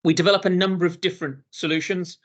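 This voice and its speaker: A man from the UK presenting a business webinar, pausing often with ums and uhs. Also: high-pitched, steady tone, a bit bright, dull audio. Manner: hesitant, many ums and uhs.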